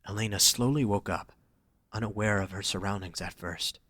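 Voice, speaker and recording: A man's voice: lowish voice, steady tone, moderate pace, sharp tone, no room sound, clean studio sound. A man narrating a scripted romantic story for an audio drama, reading dramatically.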